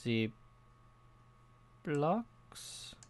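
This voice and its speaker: A man's voice: fairly high pitch, big pitch swings, very slow, a little hiss or hum. A young adult man giving casual, meandering gameplay commentary, often hesitating and interrupting himself. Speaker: gaming video host.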